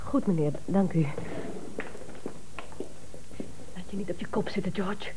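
An actress playing a loyal, encouraging secretary in a 1970s Dutch radio comedy, polite and spirited. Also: female voice, lowish voice, quick pace, natural voice. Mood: subdued. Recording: noisy old radio play recording.

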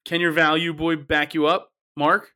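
A man hosting a casual sports betting panel, upbeat and quick to laugh, often restarting his sentences. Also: fairly high pitch, little pitch movement, crowd noise. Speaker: host of a sports betting show.

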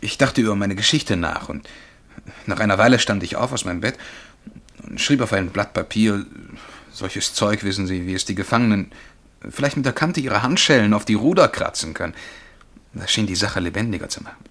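A man's voice: fairly low pitch, lively intonation, fairly quick, fairly bright tone. An actor playing an eager, self-deprecating young male bank clerk who dreams of writing, in a German radio play. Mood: earnest, embarrassed.

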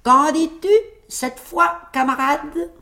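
Woman doing a high, cocky little storybook tailor. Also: fairly even intonation, slow pace, bright, forceful tone, exaggerated voice. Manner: cocky, exclamatory. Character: boastful, plucky, nimble little male tailor. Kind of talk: fairy-tale storybook acting.

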